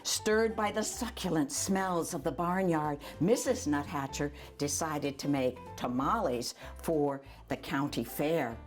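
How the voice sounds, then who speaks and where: An older woman reads a children's storybook aloud in an animated, chatty way, adding asides for young listeners. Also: deep voice, measured pace, few pauses, faint music behind. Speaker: volunteer storyteller.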